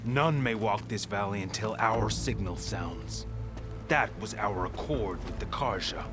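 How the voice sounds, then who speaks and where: Stern, low-pitched border warrior played by a man. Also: unhurried, close to an everyday voice. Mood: stern, hostile. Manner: threatening, clipped, formal. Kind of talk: video game dramatic acting. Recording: clear background music behind the voice.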